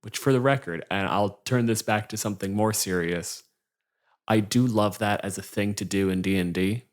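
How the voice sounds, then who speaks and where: Low voiced guy doing podcast chat, kinda dry and jokey, swears a bit. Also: moderate pace. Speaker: podcast co-host.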